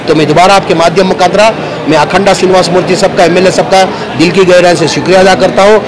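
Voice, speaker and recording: A man with a distinctly high voice and a Bangalore Dakhni accent explains things to the press. He repeats himself in colloquial phrasing, with clear street noise around him. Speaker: politician. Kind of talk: informal speech to press. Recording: noisy outdoor urban surroundings.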